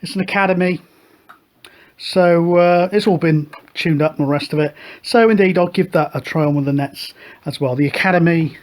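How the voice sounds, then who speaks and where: A middle-aged man talks through his radio gear in a loose, rambling way, dotting his speech with "uh" and "so". His voice sits fairly high for a man. He sounds relaxed and unscripted, like a hobbyist chatting to regular viewers. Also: moderate pace. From United Kingdom. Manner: relaxed, rambling, many fillers. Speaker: radio hobby show host. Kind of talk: casual show-and-tell chat.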